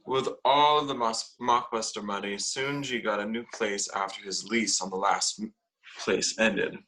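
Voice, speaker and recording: Actor as wry young man, sharp bright voice. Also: lowish voice, fairly even intonation, moderate pace, a little hiss or hum, rough recording. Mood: explaining. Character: wry young male housemate confiding to camera. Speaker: actor in a scripted table read. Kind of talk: comedy script read aloud. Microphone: video call.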